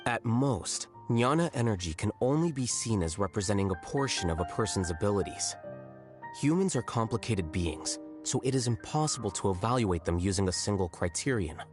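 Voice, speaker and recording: An aloof male scholar from a video game, voiced by an actor, blunt and coolly logical. He speaks in a low, measured voice without hurry. Game music plays clearly behind him. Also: natural voice. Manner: calm, measured, detached.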